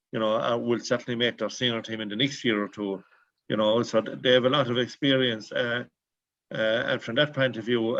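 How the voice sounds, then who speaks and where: A man with an Irish accent, a sports journalist previewing a game on the radio, steady with many fillers. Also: mid-range voice, no room sound. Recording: dry, dull lo-fi sound.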